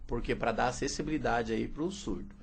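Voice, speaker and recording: A man's voice: mid-range voice, fairly quick, vocal fry, slightly rough. A man, a teacher and interpreter, explaining steadily in an interview and often adding "né". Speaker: sign language teacher and interpreter. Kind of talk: radio interview answers.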